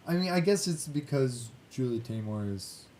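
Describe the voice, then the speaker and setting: Male podcast host, casual and meandering film chat, mid-range voice, background rumble and noise. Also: expressive, normal speed, darkish tone.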